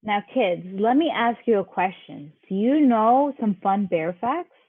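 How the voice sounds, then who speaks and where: A woman's voice: medium pitch, expressive, measured pace, husky, no echo. A woman leading a children's activity, giving simple instructions and encouragement to young kids. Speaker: children's game leader. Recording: dull, lo-fi, dry audio.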